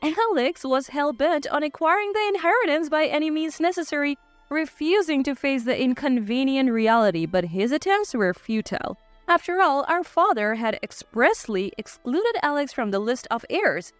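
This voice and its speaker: A woman's voice: high voice, animated, normal speed, no room sound. A woman reading a scripted, first-person dramatic story as its narrator. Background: faint background music.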